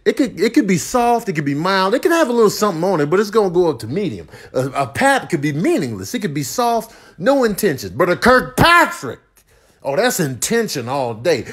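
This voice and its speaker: A man voicing a pompous older man giving a mock lecture in a deliberate, folksy, emphatic way. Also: sits high, big pitch swings, a bit bright, slightly rough, pitched below the performer's own voice, exaggerated voice. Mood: reflective, emphatic. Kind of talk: mock-lecture comedy voiceover.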